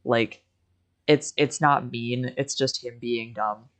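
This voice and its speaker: A young woman in a casual livestream chat, telling stories in an animated way and acting out quoted conversations. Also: rumbling low, takes it slowly. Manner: animated storytelling, quoting conversations.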